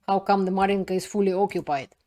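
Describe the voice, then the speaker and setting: A woman from Russia with a Russian accent, a non-native speaker, hosting her own channel and talking through news to camera in halting, loosely built sentences. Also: medium pitch, steady tone, dark tone. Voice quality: non-native grammar, halting phrasing with restarts. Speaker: video channel host. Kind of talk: casual commentary to camera.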